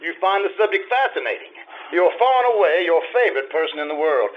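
An urbane, needling ex-husband, played by an actor in an old-time radio comedy-drama. His voice is high for a man and swings widely in pitch, with a wry theatrical lilt. The recording is a little dull. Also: expressive, fast, lightly acted. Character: sardonic, urbane society ex-husband, teasing and needling. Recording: slightly dull band-limited stage recording.

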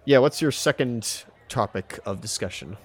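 A man's voice: medium pitch, lively intonation, moderate pace, faint music behind. A man co-hosting a casual podcast chat, rambling and slangy with frequent exclamations. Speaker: podcast co-host.

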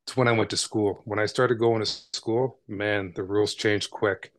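A man's voice: on the low side, fairly even intonation, moderate pace, no room sound. A man from Canada, a podcast guest, telling his story in a casual, conversational way with frequent "right?" tags and small repeats.

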